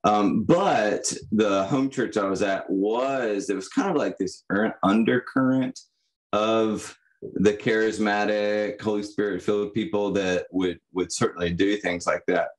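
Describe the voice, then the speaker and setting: A young man giving reflective, personal answers as a podcast guest, in a halting, thoughtful manner. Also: on the low side, steady tone. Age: young adult. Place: indoor room, on a video call. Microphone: condenser microphone.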